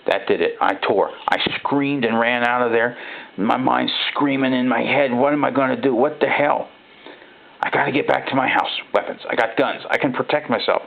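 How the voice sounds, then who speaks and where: Through a thin, phone-like sound comes a frightened ordinary man , acted by a male narrator, recounting a horror story in the first person. His medium-pitched voice is creaky and gravelly. He speaks haltingly, with rattled pauses, like a survivor leaving a warning. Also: lightly acted. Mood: panicked.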